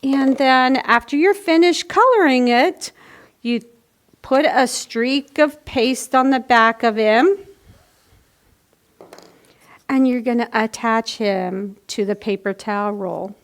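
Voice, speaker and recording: A woman with a high voice talks to young children as a storytime presenter. She takes her time, giving simple, step-by-step craft instructions in a casual teaching style. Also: unhurried.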